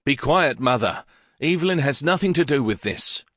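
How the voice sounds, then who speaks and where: A man's voice: mid-range voice, animated, moderate pace, a bit bright, dry recording, clean studio sound, pitched above the performer's own voice. A man voicing an impatient middle-aged lawyer who speaks formally and grows heated easily. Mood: stern.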